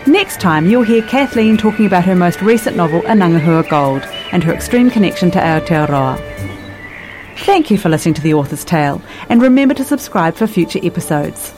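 A woman's voice: lowish voice, animated, fairly quick. A woman reading a scripted podcast outro as its presenter. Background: music.

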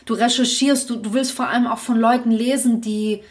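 A woman's voice: fairly high pitch, fairly even intonation, brisk. A young woman co-hosting a podcast, speaking candidly in long reflective runs with frequent restarts. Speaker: podcast co-host. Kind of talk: casual podcast conversation.

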